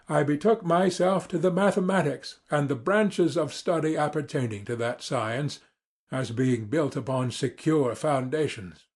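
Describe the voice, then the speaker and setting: A man reading classic literature as a dramatic audiobook narrator, formal, measured and earnest. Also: a little high, a little mellow, slightly rough.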